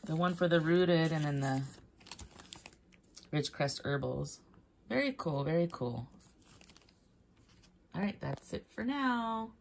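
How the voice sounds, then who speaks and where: Woman, low pitch, casual unboxing chat, enthusiastic exclamations, clear background noise, rustling and crinkling. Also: expressive, slow, long pauses. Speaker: vlogger. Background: crinkling paper and packaging, clicks.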